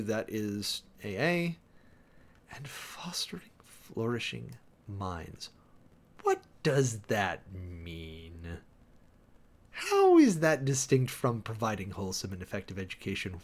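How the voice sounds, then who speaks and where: Theatrical man with sweeping, animated pitch. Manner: theatrical, emphatic, digressive, with sardonic asides. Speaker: host, preacher. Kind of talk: dramatic reading of a government document with commentary.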